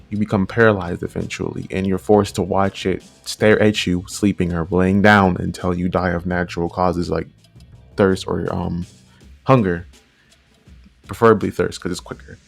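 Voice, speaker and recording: A man giving casual, unscripted commentary, rambling along and often repeating and rephrasing himself. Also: lowish voice. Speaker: video creator, narrator.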